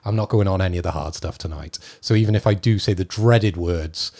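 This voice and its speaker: A man co-hosting a podcast has a low, husky, raspy voice because he is still getting over an illness. He chats in an easygoing, friendly way. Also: fairly quick, warm tone. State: recovering from illness. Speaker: podcast co-host. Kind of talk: casual podcast banter.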